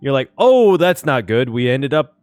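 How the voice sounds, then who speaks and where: A man hosting a casual reaction video on a game story, excitable and given to repeating phrases for emphasis. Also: mid-range voice, normal speed, no echo.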